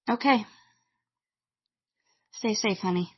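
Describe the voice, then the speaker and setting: A woman playing a sleepy, affectionate, worried young girlfriend in an audio drama, with a breathy voice and slightly muffled sound. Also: mid-range voice, slow. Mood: sleepy, tender.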